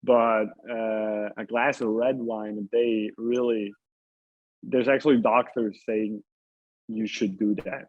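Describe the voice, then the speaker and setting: A man's voice: lowish voice, fairly even intonation, slow pace. A man from Italy chatting casually as an interview guest, hesitant and repeating his phrases, with thin phone-like sound. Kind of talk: casual chat.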